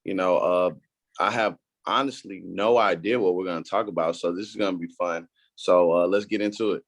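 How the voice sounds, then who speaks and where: Young man, podcast guest, low voice, dry close sound, relaxed slangy storyteller. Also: fairly quick, no echo. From Houston, Texas. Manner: laid-back, slangy and repetitive. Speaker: guest entrepreneur. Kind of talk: casual podcast interview.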